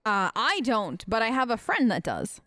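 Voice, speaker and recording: A woman's voice: on the high side, huge pitch swings, normal speed, pushed and bright, dry recording. A woman hosting a gaming video, role-playing her side of the dialogue in a chatty, wry, informal way. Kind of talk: let's play role-play, casual in-character dialogue.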